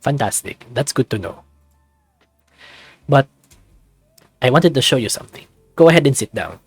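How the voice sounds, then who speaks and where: A man voicing a soft-spoken, placating male creature scientist in a visual novel, in a low voice. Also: lively intonation, moderate pace, slightly rough, dry recording, soft background music. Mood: warm, teasing.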